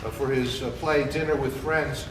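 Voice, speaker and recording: A man, a rabbi, giving an informal welcoming speech, halting and building up with repetition. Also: medium pitch, steady tone. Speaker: rabbi introducing the event. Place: temple hall, distant camera mic. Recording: echoey hall, distant mic, clear background noise.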